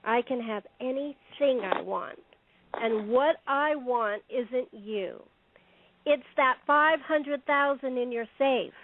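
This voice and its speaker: A woman's voice: high voice, slow pace, noisy background. A woman playing a cunning, taunting con artist and blackmailer in an audio play, with a theatrical, gloating delivery. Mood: scornful. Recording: thin phone-like line.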